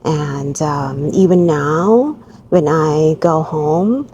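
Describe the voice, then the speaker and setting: A woman's voice: low voice, drawn-out words, warm tone, muffled recording, slight background noise. A woman in her forties from Bangkok with a Thai accent, talking candidly and reflectively to camera about her own life. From Thailand, Bangkok. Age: 40s. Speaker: vlogger. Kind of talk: personal reflective talk to camera.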